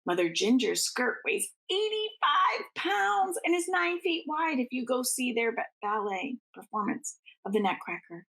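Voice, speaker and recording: A woman's voice: high-pitched, sing-song, unhurried, vocal fry, few pauses, fairly bright tone, poor audio. A woman reading a storybook aloud to children like a schoolteacher, in an informal way. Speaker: teacher reading aloud to students.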